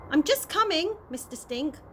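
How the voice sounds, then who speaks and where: Woman playing an eager, high-pitched headstrong young girl. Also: lively intonation, normal speed, bright, forceful tone. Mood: excited. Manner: pleading, insistent, emphatic. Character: headstrong, eager twelve-year-old girl. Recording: noisy amateur home recording.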